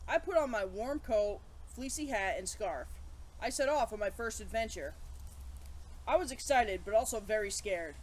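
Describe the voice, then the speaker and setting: A woman hosting a children's story time, chatting playfully to kids and reading aloud in an animated way. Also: mid-range voice, moderate pace, low-quality recording.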